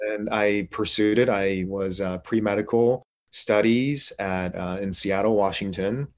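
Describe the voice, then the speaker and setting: A man's voice: fairly low pitch, unhurried. A middle-aged man, an interview guest, telling his personal story in an informal, reflective, conversational way. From Korea, moved to the United States.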